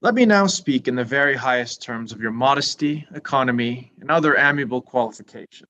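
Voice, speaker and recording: A man's voice: mid-range voice, a little fast, sharp tone, slight background noise, natural voice. An actor playing a pompous, self-important young clergyman in a staged reading of a period drama, speaking formally and at length. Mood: complacent, undeterred. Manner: pompous, long-winded, formal.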